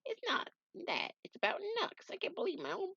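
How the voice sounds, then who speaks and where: A man voicing a brash, loudmouthed male crocodile with a high, squawky voice. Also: sing-song, fairly quick, vocal fry, highs cut off, slight background noise, exaggerated voice. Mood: indignant.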